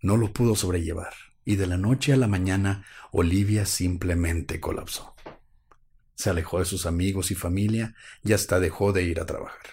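A man's voice: sits low, steady tone, normal speed, creaky, darkish tone, husky. A man co-hosting a live stream, cracking wry jokes and narrating a true-crime case. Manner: wry, jokey asides, slightly dramatic in the telling. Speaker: podcast co-host.

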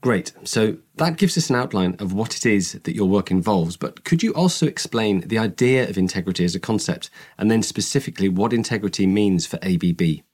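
A man's voice: lowish voice, animated, brisk, hardly any pauses, darkish tone, slightly rough. A man hosting a corporate podcast, reading his introductions and questions in a polished, scripted way. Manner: polished, read-from-script delivery. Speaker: podcast host.

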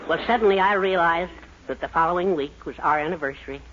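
A man's voice: a little high, fast talker, noisy background, close to an everyday voice. An actor playing a henpecked, sheepish middle-aged American father with mournful, melodramatic phrasing in a 1940s radio sitcom. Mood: rueful storytelling. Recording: dull 1940s radio recording.

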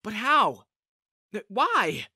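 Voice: medium pitch, animated, slow, pushed and bright, dry recording, clean studio sound. A narrator voicing a flustered, timid, reluctant ordinary character who stammers and hesitates in storybook dialogue. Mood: nervous, hesitant. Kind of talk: audiobook-style character dialogue.

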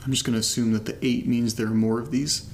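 A man's voice: mid-range voice, little pitch movement, gravelly, slight background noise, lightly acted. A man playing an uneasy homeowner in a found-footage horror audio drama, talking casually and hesitantly as if keeping a spoken diary. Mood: unnerved, weary. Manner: hesitant, halting, casual muttering to listeners. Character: uneasy man documenting his new house, casual, nervous.

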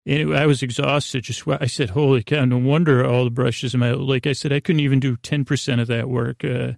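A middle-aged man rambling through a bedtime monologue as a podcast host, halting, often interrupting himself and self-deprecating. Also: medium pitch, fairly even intonation, vocal fry, a little mellow, no echo, studio quality.

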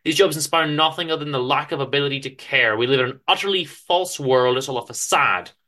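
A man giving a dramatic literary reading of a story, with theatrical, deliberate emphasis and pauses. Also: medium pitch, pushed and bright. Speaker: author reading own story aloud.

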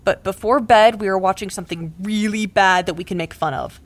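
Frank, caring young woman, medium pitch, actress. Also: a little fast, no room sound, close to an everyday voice. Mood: playful, tired. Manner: casual, frank, affectionate. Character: caring, blunt, teasing best friend, young woman. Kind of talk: naturalistic audio drama acting.

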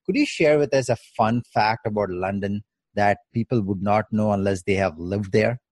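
A man hosting an interview podcast, polite and halting with many fillers. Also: lowish voice, darkish tone, no echo. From Canada. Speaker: podcast host.

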